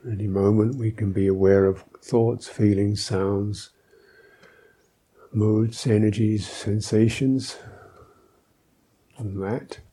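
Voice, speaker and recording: A man with a low-pitched, very dark voice leads a guided meditation. He speaks slowly and gently, phrase by phrase, pausing thoughtfully as he instructs. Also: fairly even intonation, husky. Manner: gentle, unhurried, thoughtful with long pauses. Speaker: Buddhist monk, meditation teacher.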